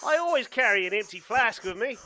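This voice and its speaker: A man's voice: very high voice, animated, a little fast, sharp tone, no echo, full character voice. A man playing a paranoid, stubborn survivalist train passenger in an amateur radio play, protesting defensively. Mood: self-justifying.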